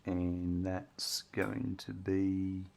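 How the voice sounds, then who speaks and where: A man's voice: deep voice, flat delivery, extremely slow, vocal fry, a little mellow. A man streaming a building game, chatting casually and thinking aloud as he works, with his voice getting strained. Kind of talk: casual gameplay commentary.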